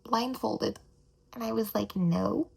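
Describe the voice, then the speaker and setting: A woman with an American accent voicing a ditsy, dramatic young abductee in a whispered ASMR role play, breathy and prone to whining complaint. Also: a little high, takes it slowly, heavy background noise, full character voice. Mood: dramatic, frightened.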